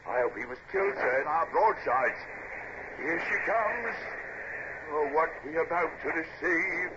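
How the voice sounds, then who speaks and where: An actor playing an eager, loyal ship's officer in a radio drama, deferential and hearty in manner. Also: male voice, a little high, animated, a little slow. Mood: excited. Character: eager, loyal naval lieutenant, excitable under fire. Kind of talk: old-time radio drama acting. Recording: noisy, dull old radio drama recording.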